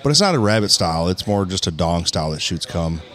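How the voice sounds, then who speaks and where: A man with a fairly low voice tosses off vulgar, wisecracking remarks. He is relaxed and crude, chatting with friends over drinks at a table. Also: fast talker. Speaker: podcast co-host. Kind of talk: crude casual banter.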